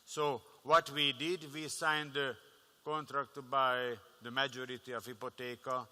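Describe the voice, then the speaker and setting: Bank exec guy on a panel, very bright voice, measured with lots of restarts, big echoey conference hall. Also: medium pitch, level tone. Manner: measured, with frequent restarts and fillers. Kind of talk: panel discussion answer.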